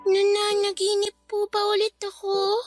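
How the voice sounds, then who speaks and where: A woman acting a frail, sick young child in a radio drama, halting and weak. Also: very high voice, monotone, faint music behind. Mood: weak wonder.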